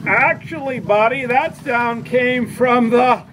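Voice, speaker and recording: Woman doing knowing dinosaur puppet, high voice, slow delivery, explanatory, children's live show, noisy background. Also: a bit bright. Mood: explaining. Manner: explanatory, addressing young children.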